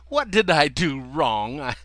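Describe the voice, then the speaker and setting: A high, bright, whiny dog, put on by a man stammers and complains in frantic bursts. He sounds indignant and put-upon, every line a yelp of protest. The recording is dry and close. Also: very animated, measured pace, dry recording. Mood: frantic whining. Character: panicky, indignant male dog in icy river.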